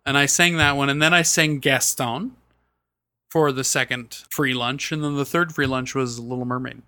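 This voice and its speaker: A man co-hosting a casual podcast chat, with a high voice, animated and quick to laugh and exclaim. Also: clear, resonant tone, dry recording. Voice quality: high voice he sings falsetto with. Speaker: podcast co-host.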